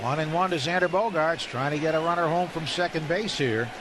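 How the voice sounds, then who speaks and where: A man's voice: a little high, fairly quick. A man doing live radio baseball play-by-play in clipped, descriptive phrases from a stadium booth. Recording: loud ballpark crowd and ambient noise.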